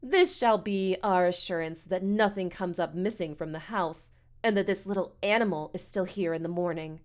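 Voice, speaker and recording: A woman's voice: fairly low pitch, moderate pace, lightly acted. A woman acts out a haughty foster mother from a storybook. She scolds in pompous, stilted, formal phrases and sounds thoroughly self-righteous. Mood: spiteful. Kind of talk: audiobook character dialogue.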